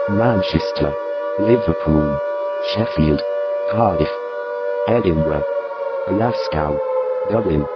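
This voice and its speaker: A man's voice: on the low side, dull audio. A man's synthetic, impersonal voice giving a formal scripted emergency warning as an alert announcer.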